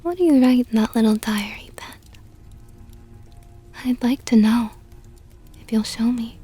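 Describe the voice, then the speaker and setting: A woman playing a seductive, possessive vampire countess in an ASMR roleplay, teasing in a soft whisper with mockingly sweet, coaxing words. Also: fairly high pitch, a little slow, slightly rough, faint music behind. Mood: flirtatious, coaxing.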